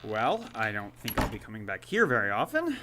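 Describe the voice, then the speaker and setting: A man's voice: lowish voice, huge pitch swings, fairly quick, noisy background. An actor playing an awkward, kind young man who talks hesitantly and mocks himself. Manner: hesitant, self-mocking.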